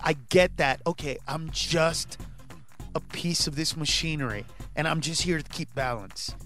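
Male stand-up comic in his 40s, born in Honduras, interview guest; fairly high voice, lively, shouts for punchlines. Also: measured pace, faint music behind. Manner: animated, rising to shouts when acting out bits. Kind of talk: casual interview chat.

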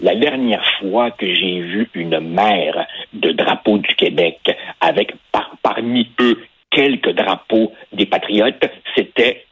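A man's voice: fairly low pitch, slow pace, fairly bright tone, breathy edge, dry recording, rough recording. A man whose first language is Spanish, speaking Quebec French as a passionate radio commentator who repeats words while building his points. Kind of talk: opinion talk on radio.

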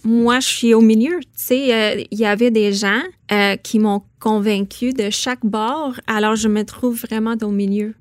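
A woman's voice: fairly high pitch, steady tone, a little slow, few pauses, no room sound, clean studio sound. A woman from Saskatchewan with an English Canadian accent, speaking French as a guest in a radio interview, thoughtful and hesitant with many fillers. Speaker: author and parliamentary correspondent, guest.

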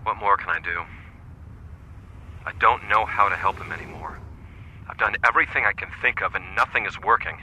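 A man's voice: lowish voice, brisk, pauses often, pushed and bright, a little hiss or hum, poor audio. An actor playing an earnest, troubled man desperate to help his friend, in a radio drama. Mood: frustrated, helpless.

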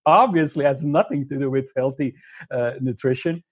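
Middle-aged Slovenian-born man as interview guest, non-native English, slightly husky, hesitant delivery. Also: mid-range voice, dry recording. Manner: halting, many "uh" fillers. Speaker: business data consultant, guest. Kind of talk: interview answers.

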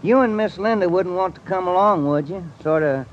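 A man's voice: high voice, a little fast, lightly acted. An actor playing a folksy, boastful and sly Texan businessman in an old radio drama, with a jovial drawl. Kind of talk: 1940s-1950s radio acting. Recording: noisy, muffled old radio recording.

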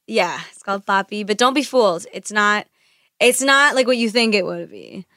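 A woman's voice: mid-range voice, normal speed, fairly bright tone, dry recording. A young woman singer whose first language is Spanish, chatting in a studio interview with lots of "like" fillers and frequent laughs. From United States. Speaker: singer guest. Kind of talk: casual interview chat. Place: radio studio. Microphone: studio mic.

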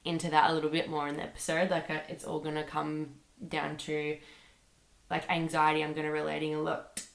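A woman's voice: low voice, gravelly. A young woman hosting her own podcast, rambling casually with many fillers while sick with a bad cold. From Australia. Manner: chatty, rambling, lots of fillers like "like" and "um". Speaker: podcast host. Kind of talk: casual solo podcast monologue. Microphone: computer microphone. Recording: echoey room.

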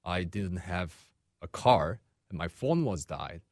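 A man answers a radio host's questions, halting and repeating words as he searches for them. His voice is very low. The recording is close and dry. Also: expressive, a little mellow, no echo. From Korea. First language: Korean. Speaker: chef and restaurant owner. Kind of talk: radio interview.

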